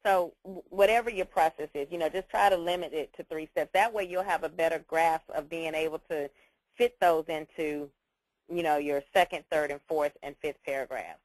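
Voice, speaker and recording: A woman's voice: low-pitched, moderate pace, a little mellow, no room sound, low-quality recording. A woman teacher explaining things informally on a class call, reassuring and repeating her key points. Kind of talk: informal spoken instruction.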